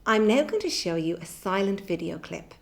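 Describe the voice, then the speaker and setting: A woman's voice: medium pitch, lively intonation, normal speed, vocal fry. A middle-aged woman leading an instructional drama lesson for children, giving encouraging step-by-step directions. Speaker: workshop presenter for children. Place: hallway-like room in a house, indoors. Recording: roomy, echoey indoor sound.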